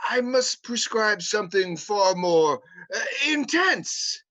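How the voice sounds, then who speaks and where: A man's voice: high voice, sing-song, slow, sharp tone, heavily acted. A man voicing a pompous male hospital psychiatrist in a livestream script reading, hesitating with fillers. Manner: hesitant, stumbling over fillers. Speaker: play reader. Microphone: home mic on a livestream.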